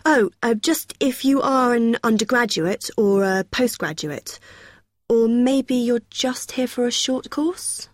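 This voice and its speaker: A woman's voice: on the high side, slow, no echo, clean studio sound. Helpful young student union woman. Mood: friendly, helpful. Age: young adult. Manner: helpful, explanatory. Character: friendly, helpful female student union helper. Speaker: student union helper in a listening exercise. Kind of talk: acted everyday dialogue.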